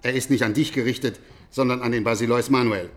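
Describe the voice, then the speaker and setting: An actor playing a dramatic, official imperial chancellor in a radio drama, formal and declamatory. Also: male voice, mid-range voice, fairly even intonation, fast, close to an everyday voice. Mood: grave, insistent.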